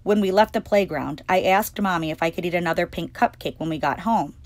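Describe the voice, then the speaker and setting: A woman hosting storytime, reading a children's picture book aloud with expressive, clearly phrased delivery. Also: lowish voice, fairly quick, no echo. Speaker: storytime reader and host.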